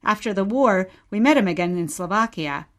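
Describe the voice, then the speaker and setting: A woman's voice: mid-range voice, animated, normal speed, lightly acted. A woman playing a wistful mother reminiscing about exile and telling her story simply in a radio play. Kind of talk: short radio play dialogue, bedtime storytelling.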